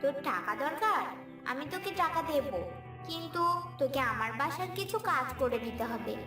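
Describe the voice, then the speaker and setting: A woman's voice: high-pitched, animated, normal speed. A woman voicing a stingy, bossy village auntie in a cartoon fairy tale, curt and scolding. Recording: roomy, distant, loud music bed.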